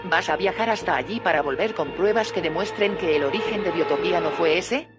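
A woman's voice: fairly low pitch, brisk, loud music behind the voice, lightly acted. An actress playing an earnest, probing woman in an audio drama, serious and inquisitive. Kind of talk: audio fiction drama acting.

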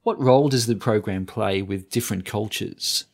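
A man with a fairly low voice asks short, polite interview questions. He keeps each prompt brief and leaves the talking to his guest. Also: moderate pace.

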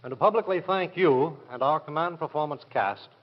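A man's voice: a little high, brisk. A man giving a formal 1940s radio address as a military commandant. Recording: muffled 1940s radio recording.

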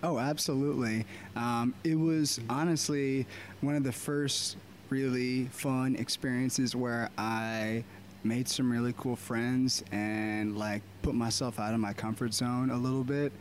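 Man, twenties, from Baltimore, casual interview guest, reflective, halting, faint background noise. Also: mid-range voice. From Baltimore, USA. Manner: relaxed, reflective, many "uh" pauses. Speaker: podcast guest, DJ.

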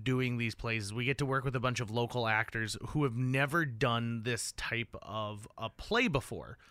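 A man with a bright, punchy voice works as a radio host in a dry studio. He talks in an upbeat, enthusiastic way and leans on key words with emphasis. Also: medium pitch, moderate pace, no echo. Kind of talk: upbeat radio interview chat. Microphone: close studio microphone.

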